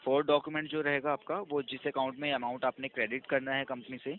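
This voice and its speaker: A man's voice: mid-range voice, level tone, quick pace. Man with a tinny phone-line sound. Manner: smooth, procedural, persuasive, mixing English terms. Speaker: phone caller posing as insurance agent. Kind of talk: scripted sales phone call.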